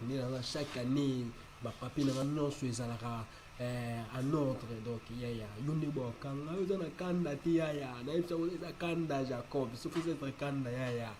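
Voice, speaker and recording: A male actor plays an exasperated cartoon man of ordinary pitch. He argues casually and insistently, circling back over his words. A faint noise sits behind him. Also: a little mellow, natural voice, poor audio. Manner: repetitive, insistent, argumentative. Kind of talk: casual cartoon dialogue in Lingala.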